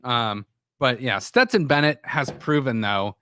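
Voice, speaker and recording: A man's voice: mid-range voice, a little slow, a bit bright, smooth, no echo, studio quality. A man hosting a sports talk show, with a scratchy voice from a sore throat, rambling with frequent restarts. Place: small studio.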